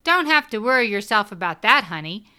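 A man's voice: sits high, big pitch swings, fairly quick, sharp tone, clean tone, pitched above the performer's own voice, heavily acted. A man voicing a bubbly, hospitable woman, brassy and reassuring, in audiobook dialogue. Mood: warm, reassuring.

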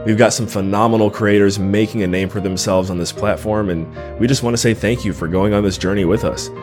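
A man's voice: fairly low pitch, steady tone, a little fast, over music. A man hosting a podcast, enthusiastic and friendly as he introduces the show and asks questions. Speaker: podcast host.

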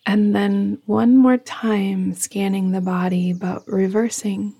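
A woman guiding a yoga nidra meditation in a soft, soothing, hushed voice that often trails into a breathy whisper. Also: mid-range voice, fairly even intonation, slow. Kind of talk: guided meditation, slow and soothing.

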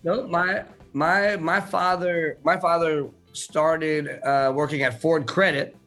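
Man in his 30s, from Florida, earnest, halting, fillers, slightly high voice, faint music. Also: steady tone, a bit bright. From Central Florida. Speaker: car dealership owner, guest. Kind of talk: casual interview answers. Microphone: remote video call.

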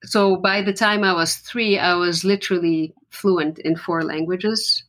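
A woman's voice: lowish voice, steady tone, unhurried, fairly bright tone. A woman from Lebanon, a musician guest in an interview, talking in an earnest, reflective way with frequent restarts. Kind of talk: conversational interview.

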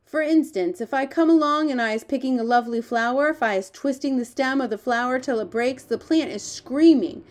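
An earnest, big-hearted giant from a children's storybook, acted out by a woman. He speaks in a raised, high-set pitch for a giant, with proud wonder and quaint, muddled grammar. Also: brisk, few pauses, natural voice. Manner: ungrammatical, quaint invented words, wonder-filled.

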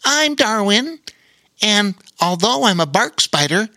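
A man voicing a friendly, frank male spider in a storybook, talking eagerly in a high squeaky voice. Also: big pitch swings, takes it slowly, sharp tone, no echo, clean studio sound, heavily acted. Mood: chatty. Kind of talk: storybook reading.

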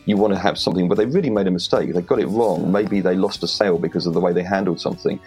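A Welsh man with a very low voice talks plainly and with confidence as a podcast guest. He gives firm, practical advice, and faint music sits behind him. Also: racing, darkish tone, slightly rough. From Wales. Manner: direct, confident, plain-spoken. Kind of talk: interview advice. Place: home in rural Wales.

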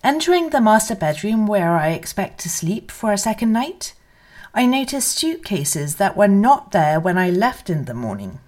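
A woman's voice: mid-range voice, big pitch swings, normal speed, a little mellow. A woman narrating a first-person memoir as a scripted literary reading. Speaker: reader of memoir excerpts.

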